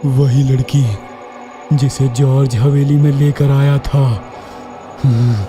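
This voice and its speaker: A man playing a drinking gang crony who gets possessed, in an animated horror story. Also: medium pitch, slow, over music, close to an everyday voice. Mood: possessed, eerie.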